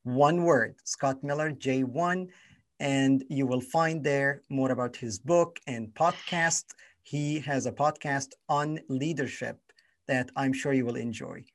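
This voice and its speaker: A man's voice: mid-range voice, takes it slowly. Polite, formal male podcast host. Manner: polite, formal, gives short affirmations. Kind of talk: interview hosting.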